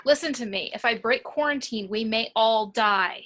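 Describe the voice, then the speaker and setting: A woman's voice: a little high, moderate pace, a bit bright, close to an everyday voice. A woman playing a firm, by-the-book warrant officer in a sci-fi table read, speaking insistently. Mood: firm, urgent. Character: firm, rule-bound female warrant officer on a spaceship. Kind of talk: amateur screenplay table read.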